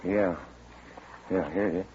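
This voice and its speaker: An actor playing a courteous, steady police detective in a mid-century radio drama, plain and understated. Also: male voice, low voice, a little slow. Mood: steady, serious. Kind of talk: 1950s radio drama acting. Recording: noisy, muffled old radio recording.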